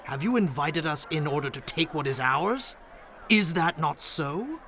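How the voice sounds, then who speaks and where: Sharp, sneering Philistine man. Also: on the high side, lively intonation, measured pace, slight background noise, exaggerated voice. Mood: threatening. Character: menacing, sneering Philistine townsman. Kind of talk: radio theatre-style Bible dramatization.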